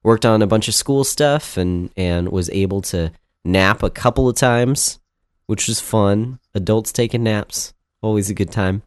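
Low, nasal man with a cold. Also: a little slow, clean tone, no room sound, studio quality. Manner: rambling, self-deprecating, many fillers and restarts. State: has a cold. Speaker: podcast co-host. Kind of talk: casual chat.

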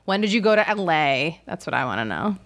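A woman's voice: on the low side, sing-song, fairly quick, fairly bright tone. A woman hosting a casual podcast interview in an effusive, encouraging way. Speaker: podcast host.

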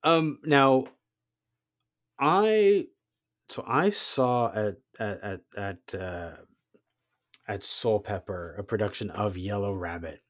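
An interviewer who asks halting, searching questions with many restarts and murmurs of agreement. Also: low-pitched, expressive, no echo. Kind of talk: conversational interview.

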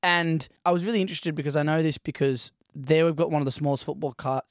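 A man's voice: fairly high pitch, fairly even intonation, fast, no room sound, clean studio sound. A man co-hosting a podcast in casual chat, rambling through anecdotes and laughing as he goes. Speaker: podcast co-host.

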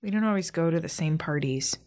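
An actress playing a self-conscious, anxious young eldest sister with an American accent, in a naturalistic modern audio drama. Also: female voice, low voice, expressive, brisk, darkish tone. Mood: downcast. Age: young adult. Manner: self-deprecating, earnest.

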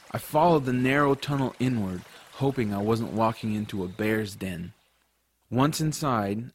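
An adventurous young boy played by a man tells his own story in an audio drama. His voice sits at a middling pitch for a man, and his delivery is expressive, with a skeptical streak. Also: no room sound, studio quality. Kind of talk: audiobook first-person narration and acted dialogue.

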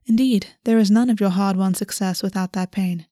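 A woman gives voice to a poised, quietly scheming male courtier in a fantasy audiobook, who speaks in a soft, breathy half-whisper. Her tone is warm and low-key, and the room sounds close and dry. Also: fairly even intonation, normal speed, dry recording, lightly acted. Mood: explaining.

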